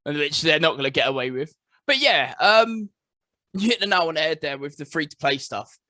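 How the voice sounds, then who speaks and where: Guy on a gaming podcast, bright voice, dry close sound, agrees a lot and laughs. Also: a little high, lively intonation, dry recording. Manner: agreeable, chatty, quick to laugh. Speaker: podcast co-host. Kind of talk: casual podcast chat.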